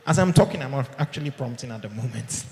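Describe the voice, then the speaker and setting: Male speaker, mid pitch, conversational conference talk, keeps asking "okay?", booming hall reverb. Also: animated, quick pace, a little mellow. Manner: conversational, checks in with "okay?" often. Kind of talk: live presentation to an audience. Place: large hall. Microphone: handheld or podium mic. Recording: echoey hall with long reverb.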